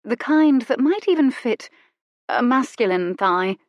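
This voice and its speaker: A woman puts on a daring young lady of the Regency era, coaxing and coy, her voice lifting brightly as she persuades. The sound is close and dry. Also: on the high side, expressive, measured pace, no echo, highs cut off, voice pushed up.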